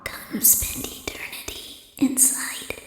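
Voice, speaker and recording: A woman's voice: slow pace, whispered, close to an everyday voice. A woman voicing an eerie, seductive and slyly menacing female animatronic in a video game. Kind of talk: video game character voice lines. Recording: roomy echo under loud music.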